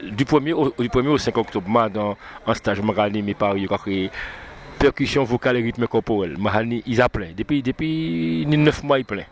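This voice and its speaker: Halting man from Martinique, outdoors. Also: mid-range voice, slight background noise. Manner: halting, repeats words often. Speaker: musician guest. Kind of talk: casual interview chat.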